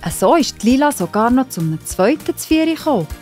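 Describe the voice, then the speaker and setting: A woman's voice: high voice, big pitch swings, fairly quick, dark tone. A woman with a Swiss German accent narrating a children's story. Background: music.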